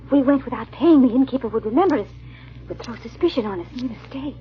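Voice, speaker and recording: A woman's voice: on the high side, lively intonation, fast, natural voice. A woman playing a hunted, anxious young wife who recounts her escape in a 1940s radio drama, on a muffled old broadcast recording. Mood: urgent, alarmed.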